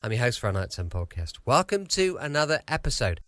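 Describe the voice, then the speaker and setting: Animated male podcast host. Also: medium pitch, fast talker, no room sound. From United Kingdom. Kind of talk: casual chatty podcast introduction. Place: home studio.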